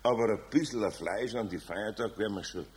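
A man's voice: on the low side, normal speed, a little mellow, husky. A man playing a kindly, patient older master carpenter in a radio play, with a Bavarian accent and a homely, hesitant way of talking. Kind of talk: 1970s children's radio play acting.

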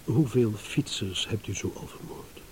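An actor playing a shrewd, amused businessman in a radio drama, teasing and insinuating with hesitant pauses. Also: lowish voice, darkish tone, natural voice. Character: shrewd, amused businessman, a blackmail victim turning schemer. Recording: hissy old radio play recording.

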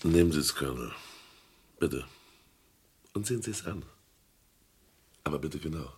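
An actor playing a seasoned, discreet art appraiser in a German crime radio play, deliberate, polite and soothing. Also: male voice, lively intonation, darkish tone, exaggerated voice. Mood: grave, careful. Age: middle-aged or older. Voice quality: very deep, husky.

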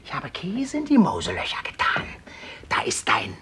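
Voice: mid-range voice, wildly swooping pitch, moderate pace, over music. An actor playing a meek husband in a radio play, sweetly polite on the surface and slyly whispering murderous thoughts. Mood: sly sweetness.

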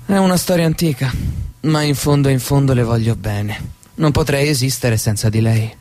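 A man's voice: mid-range voice, moderate pace, darkish tone, natural voice. An actor playing an enigmatic male guide in a theatrical radio drama, speaking cryptically. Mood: defensive, familiar.

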